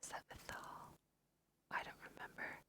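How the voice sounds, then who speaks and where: A woman's voice: moderate pace, sharp tone. A woman whispering a casual, musing ASMR let's play, talking to her viewers as she goes. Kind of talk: whispered ASMR game commentary.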